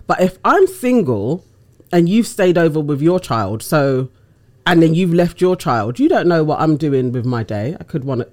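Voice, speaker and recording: A woman, giving frank opinions in casual chat with friends. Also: extremely low pitch. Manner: frank, expressive.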